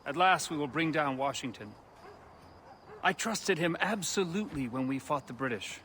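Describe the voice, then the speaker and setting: An actor playing a determined revolutionary leader in a video game, speaking in an earnest, oratorical way. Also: male voice, on the high side, lively intonation, moderate pace, sharp tone, slight background noise. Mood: grave resolve. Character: determined male revolutionary leader plotting against a tyrant.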